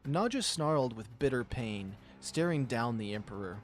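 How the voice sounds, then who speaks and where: A man with a middle-pitched voice hosts a visual novel reading. He narrates like a storyteller and also comments casually in his own words. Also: animated, normal speed, faint music behind. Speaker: visual novel reader and channel host.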